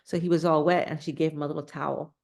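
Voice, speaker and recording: A woman's voice: low voice, level tone, fast talker, vocal fry, a little mellow. A woman reading a children's storybook aloud, adding casual, simple explanations and questions for young listeners. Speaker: storybook reader.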